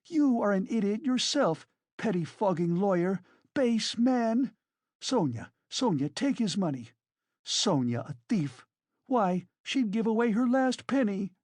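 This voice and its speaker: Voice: medium pitch, sing-song, a little slow, darkish tone, no room sound, voice pushed up. A narrator voicing a frantic, furious, proud woman in a dramatised audiobook, shrieking and exclaiming. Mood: frantic fury. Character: hysterical, furious, proud wasted woman defending a girl.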